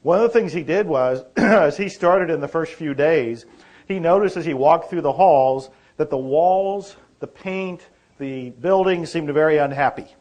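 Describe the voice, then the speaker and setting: A man's voice: a little high, measured pace, darkish tone. A man co-presenting a seminar, taking questions informally in a courteous, anecdotal manner. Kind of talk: informal question-and-answer talk. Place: seminar room.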